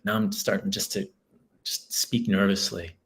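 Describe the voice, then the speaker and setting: A man's voice: fairly low pitch, little pitch movement, moderate pace, a bit bright. A man, a guest author telling a story in an earnest, reflective way. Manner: earnest, reflective, many restarts. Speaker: guest, author and school leader. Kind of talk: storytelling in a podcast interview.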